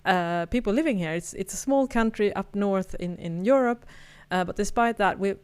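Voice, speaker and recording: A woman's voice: medium pitch, normal speed, clear, resonant tone. A woman with a Swedish accent, co-hosting a podcast in casual, chatty conversation, laughing often and restarting her sentences. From Sweden. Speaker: podcast co-host. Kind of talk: casual chat.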